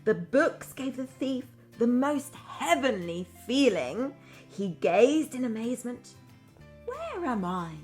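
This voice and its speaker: Female storyteller, primary-teacher style: unhurried, expressive read-aloud with simple asides for little kids, soft music underneath. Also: a little high. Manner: expressive, addresses young children simply.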